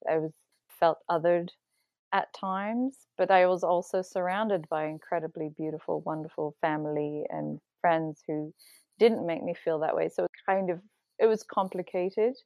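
A young woman from Bali, a guest speaking thoughtfully in a casual conversation, pausing to choose her words. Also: on the low side, fairly even intonation, darkish tone, no echo. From Indonesia (Bali). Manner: reflective, thoughtful, with hesitations.

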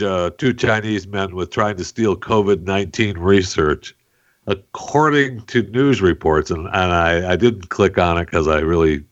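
Male podcast host, very low voice, dull lo-fi sound, joking, filler-heavy headline chat. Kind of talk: casual news chat.

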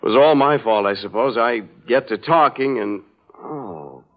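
A man's voice: medium pitch, measured pace, natural voice. A man playing a genial, regretful husband with an overfull stomach in a vintage radio advertising sketch. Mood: sheepish, uncomfortable. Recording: dull vintage radio broadcast recording.

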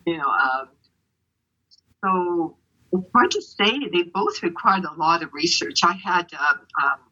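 A woman's voice: on the low side, lively intonation, measured pace, bright, forceful tone, highs cut off. An older woman from Canada, whose first language was Ukrainian, answering interview questions in a rambling, hesitant way full of fillers and restarts. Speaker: author being interviewed.

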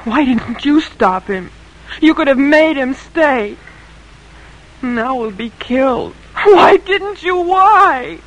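An anxious young colonial daughter in an old radio drama. Her voice is high and full of feeling. She speaks in an emotional, pleading way. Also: animated, takes it slowly, rough recording. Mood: distraught pleading.

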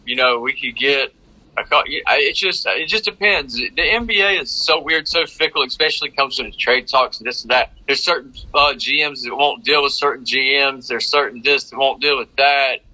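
A man's voice: mid-range voice, moderate pace, bright, forceful tone, low-quality recording. A man with a North Carolina Southern accent chatting casually about sports, rambling and repeating phrases for emphasis. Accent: North Carolina Southern American. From North Carolina, United States. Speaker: sports fan panelist.

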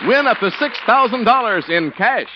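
A man's voice: very high voice, lively intonation, moderate pace, over a noisy crowd, heavy background noise. A man announcing in the style of a 1940s radio commercial. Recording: muffled vintage radio, faint studio audience.

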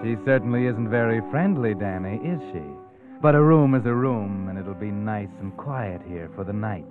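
A man's voice: on the low side, normal speed, natural voice. Vintage radio mystery host played by a man: sinister, insinuating storyteller, tinny old recording, music underneath. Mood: sly, insinuating. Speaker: narrator. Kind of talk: 1940s radio mystery narration.

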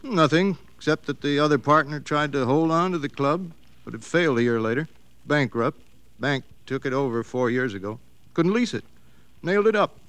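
A talkative demolition boss in a classic radio drama. His voice sits a little high, and he talks in a folksy, impatient, pressing way. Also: lively intonation, moderate pace, fairly bright tone, clean tone, no echo. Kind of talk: 1940s-50s radio drama acting.

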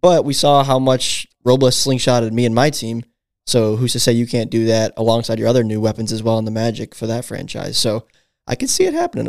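A man's voice: mid-range voice, creaky, no echo, studio quality. A man co-hosting a podcast, chatting enthusiastically in long run-on thoughts. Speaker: podcast co-host. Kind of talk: casual podcast chat.

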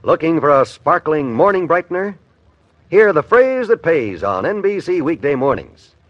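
Lively vintage American male radio announcer. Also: on the high side, moderate pace, no echo. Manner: polished, upbeat salesmanship. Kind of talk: 1950s scripted radio announcing. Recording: dull vintage radio recording.

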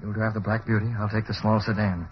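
A decisive, commanding vigilante hero, played by a male actor in a vintage radio serial. His clipped speech comes very quickly in a lowish voice. The sound is muffled and hissy. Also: flat delivery, natural voice. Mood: brisk, commanding. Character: cool, decisive male publisher secretly a masked vigilante. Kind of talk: old-time radio drama acting.

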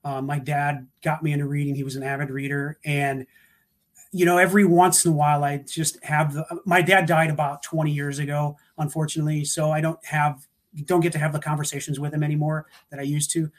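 A man's voice: a little high, little pitch movement, a little fast. A man in casual conversation, talking in an earnest, reflective way with many restarts over a video call.

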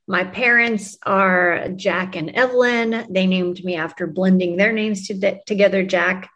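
A woman's voice: medium pitch, steady tone, unhurried, a bit bright, highs cut off. A woman from New Mexico, a podcast guest, chatting casually with frequent ums and laughter. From New Mexico, USA. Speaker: podcast guest, music publicist. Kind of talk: casual conversational interview.

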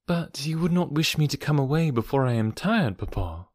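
Casting: male narrator as dutiful young daughter in a period novel, tactful, affectionate, lively intonation, dry close sound. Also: on the high side, moderate pace, dry recording. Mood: gentle questioning. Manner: reassuring, tactful. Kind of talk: audiobook character voice from a classic novel.

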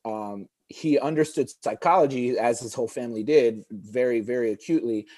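A man's voice: medium pitch, brisk, a little mellow, a little hiss or hum. A man in his forties, born in Egypt with Arabic as his first language, talking earnestly as a podcast guest and often restarting his sentences. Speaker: guest, juice bar founder. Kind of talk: podcast conversation.

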